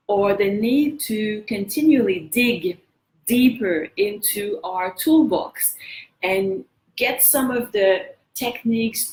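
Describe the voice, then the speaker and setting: A woman's voice: mid-range voice, darkish tone, echoey room, poor audio. A woman with a French accent hosting a live coaching session, talking earnestly and encouraging viewers to share their feedback. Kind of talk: motivational live-stream talk.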